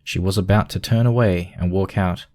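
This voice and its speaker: A man's voice: sits low, steady tone, normal speed, a little mellow. A man narrating a scripted piece of fiction as a storyteller.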